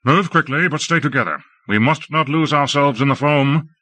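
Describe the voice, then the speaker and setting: A man's voice: a little high, normal speed, sharp tone, no room sound, pitched above the performer's own voice. A man voicing a male lord who barks urgent commands to his troops in battle. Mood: urgent commanding. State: throat thick with fumes. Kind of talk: audiobook character voice.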